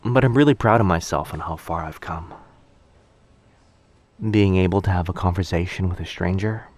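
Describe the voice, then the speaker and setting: A man playing a shy, sweet, anxious young stranger in a boyfriend ASMR roleplay, speaking hesitantly, softly and earnestly. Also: low voice, normal speed. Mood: earnest, grateful. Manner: hesitant, soft-spoken, earnest, self-doubting.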